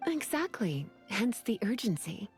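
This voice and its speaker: Flirtatious, lilting game sorceress. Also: female voice, medium pitch, very animated, unhurried, soft background music. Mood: teasing. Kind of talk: video game voice acting.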